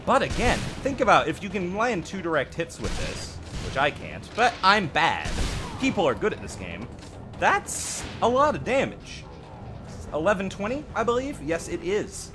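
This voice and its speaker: A man's voice: high-pitched, sing-song, moderate pace, over music. A man giving casual, conversational commentary on a game update as a host, offering his own opinions with some self-deprecation. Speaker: gaming channel host.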